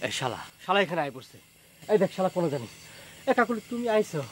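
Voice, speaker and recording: A man's voice: high voice, big pitch swings, quick pace, a little hiss or hum. An actor playing a sheepish, evasive young villager in a comedy drama, protesting his innocence. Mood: defensive, sheepish. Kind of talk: Bengali TV comedy drama acting.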